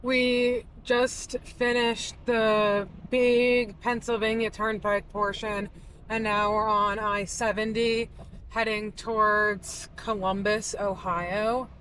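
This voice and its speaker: A woman's voice: medium pitch, flat delivery, takes it slowly, fairly bright tone, slight background noise, low-quality recording. A young woman from the US East Coast, narrating a casual travel vlog in a chatty, upbeat way with lots of "like". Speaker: vlogger. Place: inside a car on a road trip.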